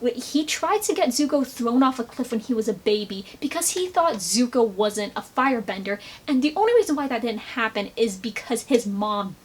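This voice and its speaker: Female video essayist, higher-pitched voice, conversational and opinionated, emphatic rant delivery. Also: animated, normal speed, few pauses, husky. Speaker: video essayist, fan commentator. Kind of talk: casual opinionated commentary.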